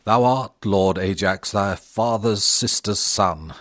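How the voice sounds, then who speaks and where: A man's voice: on the low side, slow, fairly bright tone, no room sound. A man playing a noble, gracious Trojan warrior prince with formal delivery in a Shakespearean audio drama.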